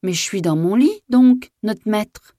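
A woman's voice: on the low side, animated, slow pace, warm tone, no room sound, clean studio sound, voice pushed up. Woman voicing a timid young peasant servant girl. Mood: flustered. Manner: meek, deferential rustic speech. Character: timid, obedient 21-year-old peasant servant girl, easily frightened.

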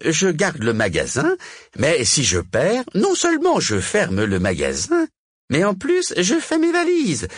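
Guy doing a storybook witch, super high and husky, vain n scheming, swoops all over. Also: no echo, clean studio sound, full character voice. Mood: pleading. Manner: indignant, scheming, melodramatic. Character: vain, shrieking witch with a huge pointed chin.

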